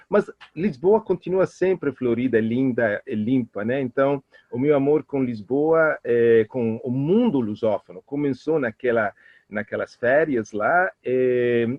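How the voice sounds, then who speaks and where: Sex: man. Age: middle-aged. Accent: Italian. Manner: quick, halting storyteller. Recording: dull, muffled, dry. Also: mid-range voice, no echo. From Italy. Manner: fast, animated talker with many hesitations and restarts. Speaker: guest expert. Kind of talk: interview answers, personal storytelling. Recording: muffled lo-fi audio.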